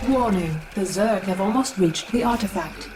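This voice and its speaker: A woman voicing a female computer warning system in a video game, in a flat announcer delivery. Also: medium pitch, normal speed, far from the mic, close to an everyday voice. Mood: alert.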